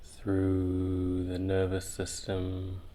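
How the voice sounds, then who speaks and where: Male meditation guide: extremely unhurried delivery, very flat intonation, soothing, pausing between phrases. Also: deep voice, a little hiss or hum. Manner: soothing, unhurried with long pauses. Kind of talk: guided visualization, meditative narration.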